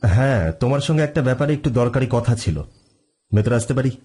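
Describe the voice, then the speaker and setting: A man acting as a sharp, driven, commanding private detective in a Bengali audio drama, speaking emphatically. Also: medium pitch, lively intonation, fast, slightly rough. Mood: cool, probing.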